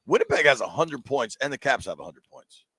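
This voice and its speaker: A man's voice: mid-range voice, big pitch swings, a little fast, a bit bright, slightly rough, no echo. A man hosting a live sports radio show, joking and teasing while running through bracket picks. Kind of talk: casual sports radio banter. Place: sports bar, live broadcast.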